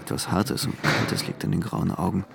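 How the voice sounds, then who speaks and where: A man's voice: sits low, animated, normal speed, vocal fry, heavy background noise, exaggerated voice. An actor playing a dreamy, infatuated young man, musing introspectively in a radio play's inner monologue. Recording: loud music under the voice.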